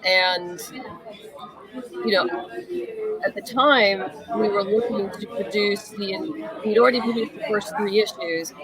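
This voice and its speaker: A woman's voice: mid-range voice, a little slow, over a noisy crowd, low-quality recording. A woman being interviewed, chatting casually and explaining things with plenty of "you know". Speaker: interview guest, comic writer.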